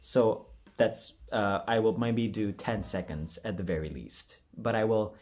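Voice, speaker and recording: A man's voice: on the low side, unhurried, darkish tone. A man chatting casually as a video creator, rambling in a jokey, self-deprecating way. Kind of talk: casual rambling vlog chat.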